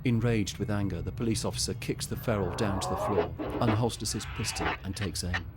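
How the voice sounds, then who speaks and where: A man narrating a horror audio drama in a grim, measured, suspenseful manner. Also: lowish voice, very noisy, natural voice. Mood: urgent, intense. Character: grim male narrator of a zombie-outbreak story. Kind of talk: scripted dramatic narration, present tense.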